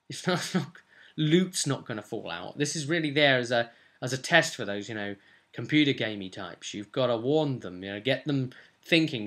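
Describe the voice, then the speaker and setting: A man's voice: mid-range voice, pushed and bright. A man in his twenties talking informally and off the cuff, recapping a tabletop role-playing game in a rambling, conversational way at his desk. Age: 20s. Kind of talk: casual unscripted game session recap. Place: small furnished room at home.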